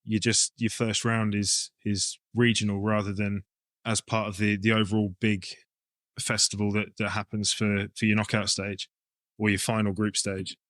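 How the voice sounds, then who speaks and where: A man's voice: lowish voice, flat delivery, moderate pace, fairly bright tone, no echo. A man with an English accent talking casually as an interview guest, explaining his ideas informally as he thinks them through. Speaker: rugby coach and club director, interview guest.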